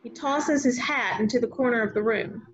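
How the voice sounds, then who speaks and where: A woman with a slightly high voice reads stage directions in a scripted narration style. The audio is dull and lo-fi, like a video call. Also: moderate pace, slight background noise.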